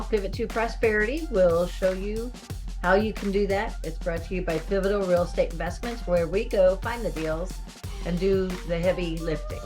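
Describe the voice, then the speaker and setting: A middle-aged woman hosting a podcast, opening it with a friendly scripted introduction. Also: fairly low pitch. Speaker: podcast host. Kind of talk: interview hosting.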